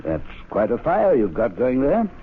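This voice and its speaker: A man's voice: medium pitch, wildly swooping pitch, unhurried, slight background noise. An actor playing an urbane, pompous club president in a radio drama, formal and arch in his wording. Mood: archly probing. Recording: tinny old radio broadcast.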